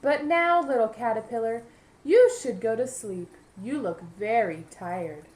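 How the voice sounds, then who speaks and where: Woman voicing wise female butterfly, kind, soothing, encouraging, high-pitched, storybook. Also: a little slow, darkish tone, reverberant, close to an everyday voice, low-quality recording. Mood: gentle, caring. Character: kind, knowing female butterfly, gentle and motherly. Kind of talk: storybook read-aloud for young children.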